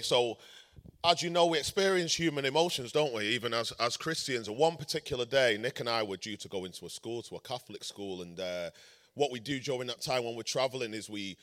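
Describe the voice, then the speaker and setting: Croaky-voiced man telling a story. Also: mid-range voice, fairly bright tone. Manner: casual, rambling storyteller. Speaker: touring gospel band member. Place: church event hall.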